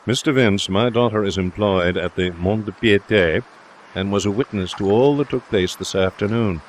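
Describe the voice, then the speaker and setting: A man voicing a stiff old gentleman, an indignant and protective father in an audiobook, with formal speech. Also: fairly low pitch, moderate pace, slight background noise, lightly acted. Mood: cold, restrained. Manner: formal, spluttering, restrained. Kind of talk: audiobook character dialogue.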